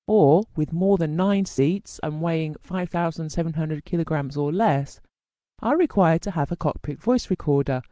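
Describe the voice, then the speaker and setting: A narrator reading a scripted technical lesson in a measured, formal, explanatory way. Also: mid-range voice, warm tone, dry recording. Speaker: instructional narrator.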